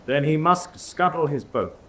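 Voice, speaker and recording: An actor playing a proud, self-assured U-boat commander in a radio drama, speaking with clipped certainty. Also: male voice, fairly high pitch, expressive, a little slow, sharp tone, a little hiss or hum. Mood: haughty, assured. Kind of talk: radio drama courtroom acting.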